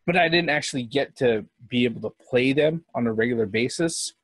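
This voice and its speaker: A man's voice: medium pitch, a little fast, a little hiss or hum. A man around forty in a casual group chat, patiently explaining and correcting details. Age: 40s.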